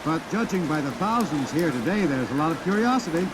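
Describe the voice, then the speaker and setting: A man playing a brisk old-time radio announcer. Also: fairly high pitch, expressive, natural voice. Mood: excited. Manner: fast showman patter, hushed and grave when solemn. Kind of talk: 1950s radio drama acting. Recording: old radio recording under loud rain noise.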